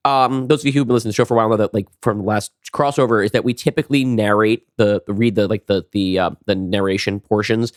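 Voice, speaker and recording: A man's voice: lowish voice, fairly even intonation, no room sound, clean studio sound. A man co-hosting a podcast, talking casually about writing and often restarting as he works out his thoughts. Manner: halting, repeats words as he works out thoughts. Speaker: podcast co-host and writer. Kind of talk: casual behind-the-scenes podcast chat.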